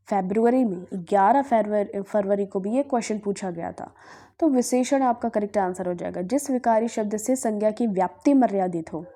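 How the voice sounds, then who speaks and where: A woman's voice: on the high side, dark tone. A woman from Bihar teaching Hindi grammar, working through past exam questions and answering her own questions as she explains. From Bihar, India. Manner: asks herself questions and answers them, often says "ठीक है".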